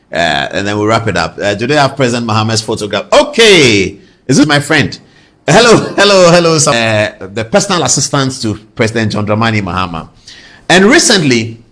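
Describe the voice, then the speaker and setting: A man's voice: mid-range voice, fairly bright tone. A middle-aged man with a Ghanaian accent hosting a political talk show, telling things conversationally with emphatic repetition and rhetorical questions. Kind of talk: conversational political commentary to viewers.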